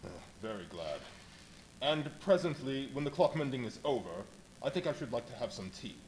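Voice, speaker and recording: A man playing a secretive, commanding gentleman stranger in a live radio play, with clipped formal speech. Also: mid-range voice, sing-song, moderate pace, close to an everyday voice, poor audio. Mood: stiffly polite. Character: curt, secretive, imperious gentleman stranger wrapped in bandages. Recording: live stage show, background noise.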